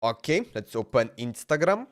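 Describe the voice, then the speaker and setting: A man's voice: medium pitch, big pitch swings, normal speed. A man streaming a game, chatting loosely and laughing, often saying "bro" and "man.". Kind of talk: casual livestream chat while playing.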